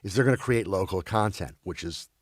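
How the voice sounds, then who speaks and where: A man who grew up in Belgium talks as an interview guest in a loose, conversational way. He stumbles and restarts often, circling back over his words in a lowish voice. The recording is dry, with almost no room sound. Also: a little fast, dry recording. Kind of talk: casual conversation.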